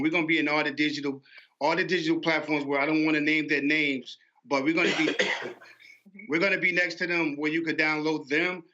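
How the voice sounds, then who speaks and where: A man's voice: on the high side, sharp tone. A man speaking as an interview guest about his own venture, often repeating words and phrases, on dull lo-fi audio. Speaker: guest promoting an animation platform. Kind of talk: interview conversation.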